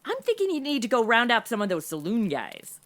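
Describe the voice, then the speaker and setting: A woman playing a sassy, sarcastic modern American young woman in a comedy audio drama, speaking in animated slang. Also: a little high, brisk. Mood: excited, silly. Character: sassy, bored modern young woman stuck in Old West.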